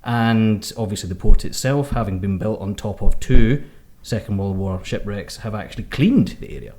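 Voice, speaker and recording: A man's voice: lowish voice, lively intonation, normal speed, darkish tone. Jokey Scottish man co-hosting a podcast. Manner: jokey, enthusiastic, fond of hyperbole. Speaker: podcast co-host, cruise editor. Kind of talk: casual podcast chat.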